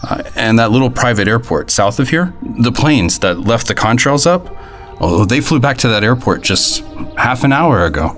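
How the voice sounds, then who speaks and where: A man's voice: mid-range voice, big pitch swings, moderate pace, a bit bright, soft background music. A man playing a folksy, secretive conspiracy-minded informant in an audio drama, with colloquial, hesitant talk. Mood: knowing, grave.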